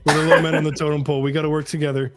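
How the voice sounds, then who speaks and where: A man's voice: a little high, level tone, very fast, soft background music. A man chatting casually as a contestant in a trivia game. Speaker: quiz contestant. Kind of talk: casual chat.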